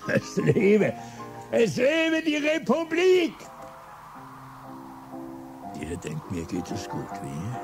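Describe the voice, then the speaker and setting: An actor playing a bitter, drunken former revolutionary in a radio play, declaiming between low mutters and outbursts. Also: male voice, wildly swooping pitch, drawn-out words, pauses often, heavily acted. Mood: bitter raving. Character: bitter, drunken old male revolutionary, brooding and wild. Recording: radio drama with music underneath.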